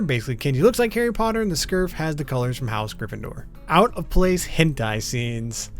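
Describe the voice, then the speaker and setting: A man with a fairly high voice narrates a scripted video essay in a casual, informal way. His intonation swings in a lively way and he slips in wry asides. Music plays underneath. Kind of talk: informal scripted commentary on a list of topics. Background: background music.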